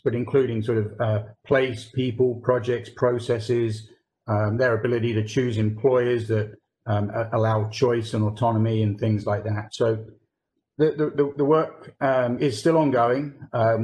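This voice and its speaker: A man's voice: mid-range voice, darkish tone, a little hiss or hum, low-quality recording. Hesitant man explaining with many fillers. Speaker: corporate real estate research lead. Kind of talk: conversational podcast explanation.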